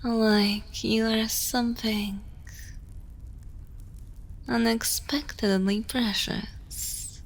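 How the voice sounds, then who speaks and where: An evil queen acted by a woman speaks extremely slowly and with total command. She is seductive and menacing with a motherly edge, often sinking into intimate whispers in an ASMR roleplay style. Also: a little high, steady tone, gravelly, exaggerated voice. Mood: wry, intimate. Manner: slow, deliberate, dominant, often dropping into whispers.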